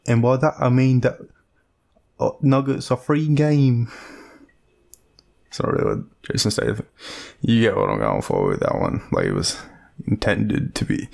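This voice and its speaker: Guy doing an aussie friend-to-lover roleplay, awkward jokey dude, slow rambly talk, super croaky. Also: mid-range voice, darkish tone. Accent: Australian. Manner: rambling, hesitant, self-deprecating, swearing. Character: awkward, joking young man secretly crushing on his friend. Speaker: audio roleplay performer. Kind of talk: intimate casual roleplay, half-improvised.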